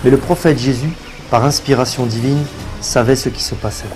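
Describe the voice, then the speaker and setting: Male story narrator, medium pitch, over music. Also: fairly quick. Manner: formal, storytelling. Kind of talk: scripted story narration for a religious cartoon.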